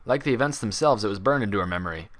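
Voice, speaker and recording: A man's voice: on the low side, animated, very fast, fairly bright tone. Man narrating a dramatic story. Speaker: fan fiction reader.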